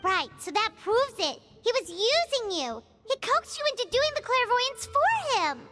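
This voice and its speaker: An actress voicing a tiny, curious, excitable fairy companion in a video game, squeaky and childlike. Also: female voice, measured pace, over loud music, exaggerated voice. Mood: inquisitive, frank.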